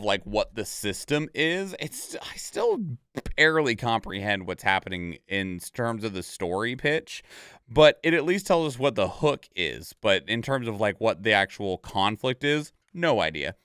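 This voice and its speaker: Male streamer, casual reaction commentary. Clean resonant voice, dry recording, thinks aloud in halting, rambling runs. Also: lowish voice, fairly bright tone, clear, resonant tone, no room sound. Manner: rambling, thinking aloud with stop-and-start phrasing. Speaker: video creator and streamer reacting to game trailers.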